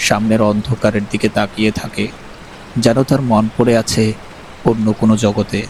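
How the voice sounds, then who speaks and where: A man narrating an animated horror story with a measured, storytelling delivery. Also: on the low side, slight background noise, poor audio.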